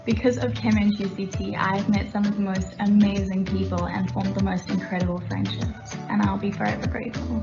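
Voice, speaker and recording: A woman's voice: medium pitch, little pitch movement, brisk, few pauses, dull audio, over music. A young woman graduate speaking earnestly. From South Africa. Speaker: recent graduate.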